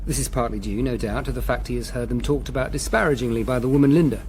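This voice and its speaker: An actor as a nervous male official in a radio play, mid-pitched and formally spoken. He sounds deferential and a little hesitant, eager to please, over faint background ambience. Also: fast talker, a little mellow, a little hiss or hum, natural voice. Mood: earnest, deferential. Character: anxious, deferential male subordinate reporting to his superior. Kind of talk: radio drama acting.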